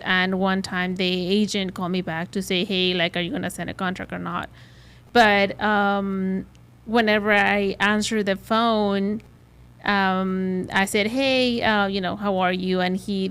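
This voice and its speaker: A woman's voice: medium pitch, fairly bright tone, clean tone. A woman with a Spanish accent, a realtor talking casually on a podcast with lots of "you know" and "like" fillers.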